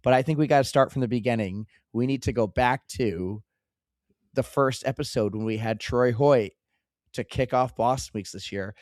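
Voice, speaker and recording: A hoarse man who has lost his voice, co-hosting a podcast in casual, excitable chat with stumbling restarts. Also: mid-range voice, normal speed, no echo, studio quality. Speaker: podcast co-host.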